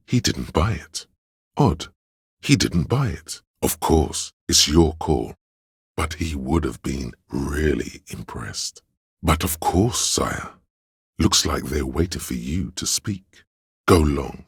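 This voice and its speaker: A man voicing a video game's inner voice of drama and deceit, grandiose, courtly and fawning, with a gravelly, husky delivery. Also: rumbling low, a bit bright, no echo, clean studio sound, heavily acted. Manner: grandiose, mannered, courtly, obsequious. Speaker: video game voice actor. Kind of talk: theatrical game character narration.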